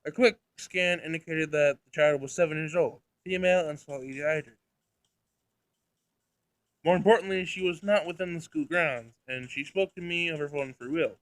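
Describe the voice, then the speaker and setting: A man's voice: on the high side, moderate pace, no room sound, rough recording. A man hosting a visual novel read-along, narrating the text and reacting casually and animatedly. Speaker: gaming video host.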